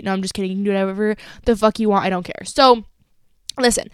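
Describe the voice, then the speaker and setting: A woman's voice: a little high, smooth, no room sound, studio quality. Chatty young woman podcast host. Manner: chatty and playful, swears freely. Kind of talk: casual solo podcast chat.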